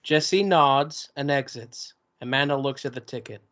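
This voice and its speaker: A man reads stage directions in a plain, even way, mid-pitched and steady. The recording is dull and lo-fi. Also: unhurried.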